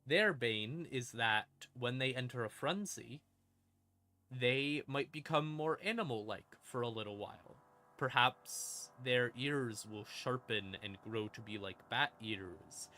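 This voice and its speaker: A man hosting a stream and explaining game lore in a casual monologue, with theatrical, deliberate pauses between short phrases. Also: mid-range voice, bright, forceful tone. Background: ambient music in the background.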